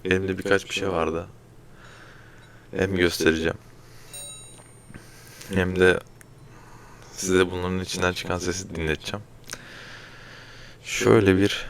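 A man speaks as a casual amateur ASMR creator. His voice is very low and gravelly with fry, and it stays very flat. He talks haltingly, with hesitant pauses, over faint background noise. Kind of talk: ASMR, casual talk to viewers.

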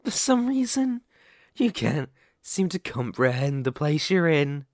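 A man voicing a sadistic, possessive werewolf captor in an ASMR roleplay, speaking in a deliberate, condescending, threatening way. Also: fairly high pitch, big pitch swings, a little mellow, no room sound, studio quality.

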